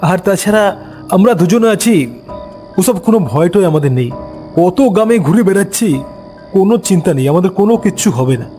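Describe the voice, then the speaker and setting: A man voicing a breezy, self-assured retired village man in a brisk manner. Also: high voice, animated, mellow, soft background music, voice pushed up. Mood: confident.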